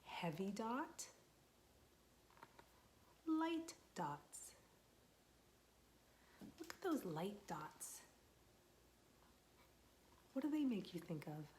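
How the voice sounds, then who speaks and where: A young woman, a children's librarian, reading a picture book aloud to small children, gentle and patient, asking them questions and waiting for their answers. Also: medium pitch, very animated, very slow, pauses often, slight background noise.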